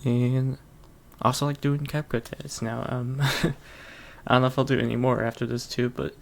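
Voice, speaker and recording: Gravelly-voiced guy rambling casually. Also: medium pitch, flat delivery, moderate pace, a little hiss or hum. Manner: rambling, heavy on fillers, chuckles often. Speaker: podcast host. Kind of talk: casual solo podcast chat.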